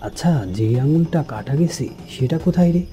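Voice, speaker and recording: A man's voice: a little high, animated, a little fast, dark tone, natural voice. A man playing a frightened, wary young man who narrates and speaks in a horror cartoon with dramatic suspense. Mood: puzzled, uneasy.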